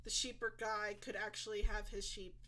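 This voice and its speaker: A woman's voice: medium pitch, flat delivery, normal speed, pushed and bright. A woman co-hosting a casual podcast chat, talking in a rambling, blunt way and laughing as she goes. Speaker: co-host presenting the topic.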